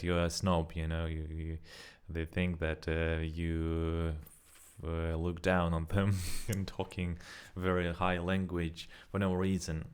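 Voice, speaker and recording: A man's voice: deep voice, smooth. A young man whose first language is not English, talking casually to camera in a rambling way with many fillers and self-corrections. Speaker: vlogger. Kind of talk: casual spoken practice vlog.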